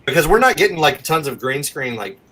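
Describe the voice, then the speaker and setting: A man's voice: a little high, big pitch swings, a little fast, a crowd in the background. A man working as an actor, talking casually and self-deprecatingly as an interview guest.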